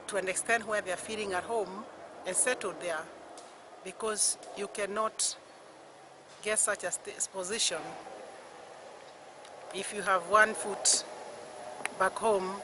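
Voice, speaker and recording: An older woman from Kenya with a Kenyan accent, giving a personal reflective talk in slow, halting phrases with long pauses. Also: mid-range voice, noisy background. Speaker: retired university lecturer.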